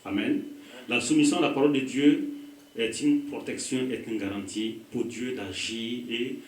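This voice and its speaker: A man's voice: mid-range voice, moderate pace, a little mellow. A pastor with a West African French accent gives a call-and-response sermon, prompting the congregation to finish his phrases. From Burkina Faso. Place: church hall. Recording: echoey hall, voice from a distance.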